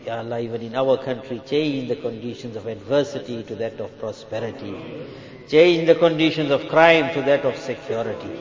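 A man's medium-pitched voice rings through a reverberant hall in a dull recording. He leads a fervent Islamic prayer as a cleric, pleading and emotional, stretching out his invocations. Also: brisk. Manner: switches between English and Urdu. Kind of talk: fervent public prayer in a religious gathering. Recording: echoey hall with long reverb, dull sound.

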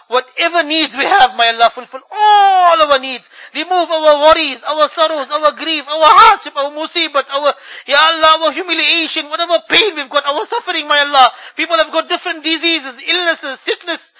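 A man's voice: very high-pitched, moderate pace, pushed and bright, low-quality recording. A man leading a fervent spoken prayer in a mosque, pleading with a repeated refrain, his voice breaking with emotion. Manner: pleading, ends each plea with a refrain. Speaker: imam leading supplication.

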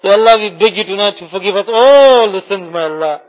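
A man leading an impassioned supplication, pleading tearfully and repeating his phrases with deep emotion. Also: lively intonation, a little fast, lo-fi sound. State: tearful, crying. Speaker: prayer leader. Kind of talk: impassioned supplication prayer, public. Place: mosque.

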